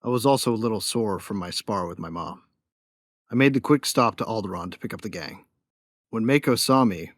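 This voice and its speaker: A man's voice: lowish voice, no echo, studio quality. A man playing a young bounty hunter in a sci-fi audio drama, telling his story in a wry, laid-back, cocky way. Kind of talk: first-person audio drama narration.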